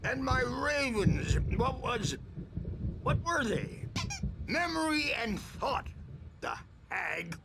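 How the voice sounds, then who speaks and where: An actor playing a crazy old rocker in a video game, rambling and conspiratorial in a cracked old voice, with halting, theatrical delivery. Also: male voice, very high-pitched, animated, vocal fry, background noise, heavily acted. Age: older. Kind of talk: video game character acting.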